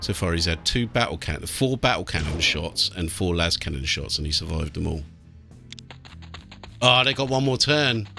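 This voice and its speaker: A man's voice: sits low, animated, normal speed, vocal fry, sharp tone. A British man giving casual gameplay commentary with blokey, sweary banter. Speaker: let's play host. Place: small warm room indoors. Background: game music.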